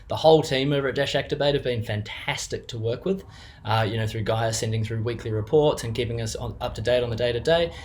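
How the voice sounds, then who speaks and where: A man's voice: fairly low pitch, slight background noise. Hesitant New Zealand man giving a testimonial. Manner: hesitant, many fillers and restarts. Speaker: business co-owner giving a client testimonial. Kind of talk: unscripted testimonial.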